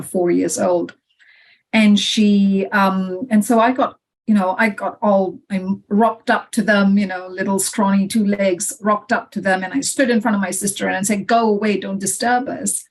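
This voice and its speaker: A woman's voice: mid-range voice, darkish tone, rough recording. A middle-aged woman from Malaysia, a podcast guest telling her story in a reflective, conversational way with frequent "you know" fillers. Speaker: podcast guest, head of legal.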